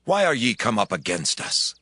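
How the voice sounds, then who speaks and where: Sharp-voiced, menacing ancient townsman. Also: on the low side, sing-song, normal speed, slightly rough, dry recording, exaggerated voice. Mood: reproachful. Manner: emphatic, declamatory. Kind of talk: dramatized scripture acting.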